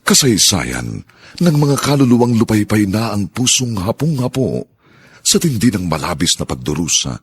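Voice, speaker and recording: A man introduces a radio drama in a grand, declamatory style and stretches out the title. His voice is very husky and raspy. His pitch swoops widely from line to line. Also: big pitch swings. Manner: sweeping, declamatory, drawn-out title readings. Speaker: radio drama announcer and narrator. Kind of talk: theatrical radio announcing.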